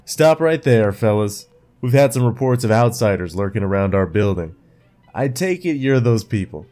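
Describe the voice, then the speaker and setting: An actor playing a suspicious, territorial guard in a sci-fi audio drama, stern and challenging. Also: male voice, fairly low pitch, expressive, normal speed, a little mellow, soft background music, lightly acted.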